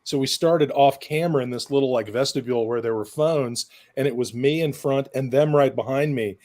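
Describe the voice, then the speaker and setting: A man's voice: medium pitch, brisk, darkish tone. Male guest in his fifties, mid-range voice, chatty and reminiscing, talking from home on a computer mic. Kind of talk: casual reminiscing. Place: home room with shelves, video call.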